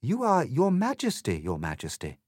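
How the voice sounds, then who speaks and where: A man's voice: mid-range voice, huge pitch swings, normal speed, no room sound, clean studio sound, voice pushed up. A man voicing a polite, curious young girl who speaks primly. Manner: prim and polite.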